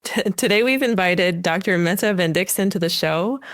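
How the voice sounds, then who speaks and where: Casting: woman podcast host, slightly low pitch, dry recording, conversational delivery of scripted intros and questions. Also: moderate pace, fairly bright tone, no echo. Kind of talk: prepared podcast hosting, conversational.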